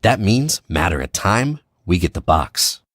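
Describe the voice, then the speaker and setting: A man playing a cocky, needling American spy in an audio drama, casual and clipped, with quiet menace. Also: low-pitched, sing-song, a little slow, no room sound, studio quality, exaggerated voice. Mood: menacing.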